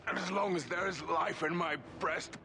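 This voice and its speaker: An actor playing a proud Norse thunder god in a video game, with a grandiose, declamatory manner. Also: male voice, high-pitched, steady tone, normal speed, background noise. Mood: defiant, grandiose.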